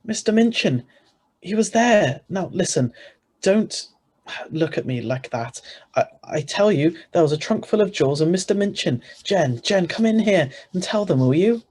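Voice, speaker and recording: A man's voice: sits high, expressive, normal speed, a little mellow. A man playing an ordinary, easily flustered married man who narrates his own story in an old-style radio play, read over a video call. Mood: agitated, insistent. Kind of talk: 1940s radio acting read aloud.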